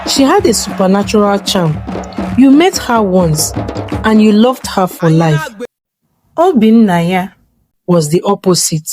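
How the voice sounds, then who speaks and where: A woman narrating a scripted folktale in a measured, dramatic storytelling manner. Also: mid-range voice, sing-song, over music.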